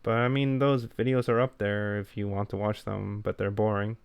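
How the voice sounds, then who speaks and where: A man talks in a low, fairly flat voice with a smooth, clear tone. He sounds low-key and self-effacing, like a co-host in a relaxed podcast conversation. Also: moderate pace, no room sound. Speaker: podcast co-host who makes gaming videos. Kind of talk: casual podcast chat.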